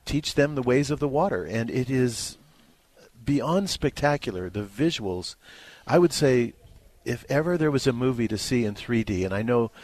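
A man with a mid-pitched voice talks through a film review in an informal, conversational way. He leans on key words for emphasis. The sound is close and dry, with very little room. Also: lively intonation, normal speed, a little mellow, dry recording. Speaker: film critic.

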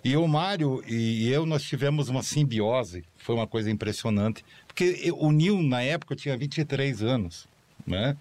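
A man recalls his years in radio. He tells his stories in a lively way full of animated asides, with a voice of middle pitch. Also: moderate pace. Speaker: guest, former producer. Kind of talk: anecdotal chat.

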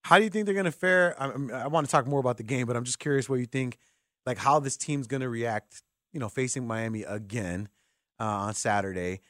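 A man's voice: mid-range voice, a little fast, fairly bright tone, no room sound, studio quality. A man hosting a sports radio show, talking conversationally as he interviews a guest.

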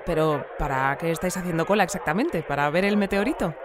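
An actress playing a formal, measured, unflappable radio newsreader in an audio drama. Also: female voice, on the low side, close to an everyday voice. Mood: puzzled, composed. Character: composed female radio newsreader of a sci-fi community. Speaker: news anchor. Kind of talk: scripted news bulletin, fiction podcast. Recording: dry studio with music bed.

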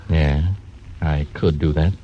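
Brief: a blunt western gambler, deep voice, muffled hissy radio sound. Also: male voice, fairly even intonation, slow. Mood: menacing. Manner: menacing, blunt. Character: hard, fearless gambler and croupier. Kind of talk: 1940s radio acting. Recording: dull old radio recording, faint hiss.

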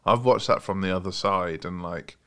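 A man hosting a podcast, relaxed and jokey, asking questions with many fillers. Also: low voice, normal speed, clean tone. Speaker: podcast host. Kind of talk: casual podcast interview.

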